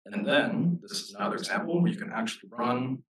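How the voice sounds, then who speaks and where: A man casually walking through a software demo at a live meetup, often checking in with the listeners. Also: medium pitch, lively intonation, fairly quick, slight background noise. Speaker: technical presenter. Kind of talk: informal software demo walkthrough. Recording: roomy, distant microphone pickup.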